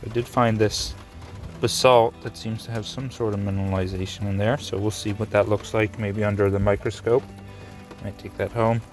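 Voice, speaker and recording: Casual male outdoor vlogger, lowish voice, laid-back, musing, rain and music behind. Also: moderate pace. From Canada. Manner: relaxed, musing, thinks aloud with restarts. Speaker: rockhounding vlogger. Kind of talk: casual outdoor vlog narration. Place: rainy beach outdoors.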